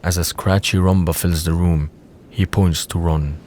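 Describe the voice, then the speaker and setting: Male narrator, low pitch, scripted delivery, audio drama storytelling. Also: fairly even intonation, normal speed, darkish tone, a little hiss or hum.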